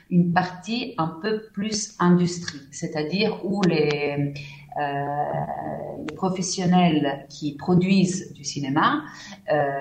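A woman's voice: fairly low pitch, echoey room, a little hiss or hum. A middle-aged woman from Italy, whose first language is Italian, answering questions in a radio interview, hesitating often with fillers and restarts. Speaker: festival partnerships manager, guest.

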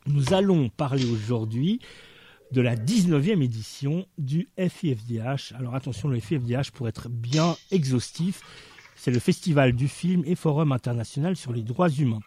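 A man hosting a radio interview, presenting in a measured, formal way. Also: mid-range voice, lively intonation, warm tone, a little hiss or hum.

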